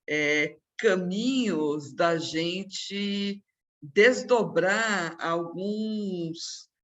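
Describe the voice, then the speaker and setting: A woman's voice: on the low side, a bit bright. A woman joining an online discussion by video call, speaking in a searching, appreciative way. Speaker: discussion participant.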